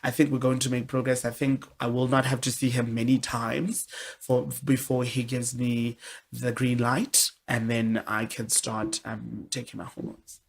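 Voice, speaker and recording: A young woman with a deep voice, speaking candidly and expressively as a guest in a casual interview. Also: a little slow. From South Africa. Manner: candid, expressive, with many restarts.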